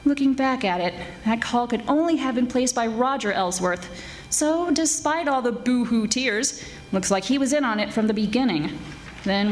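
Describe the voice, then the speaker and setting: A woman's voice: a little high, lightly acted. A woman playing a tough, wisecracking 1940s private detective in a live radio-style drama. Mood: wry, reflective. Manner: wisecracking, hard-boiled storytelling. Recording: live stage performance with background noise.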